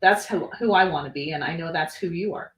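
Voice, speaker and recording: A woman's voice: lowish voice, fairly quick, fairly bright tone. Encouraging woman coach, echoey distant sound. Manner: encouraging, firm, motivational. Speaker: coach and host. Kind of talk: casual conversation. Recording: echoey room, voice off-mic.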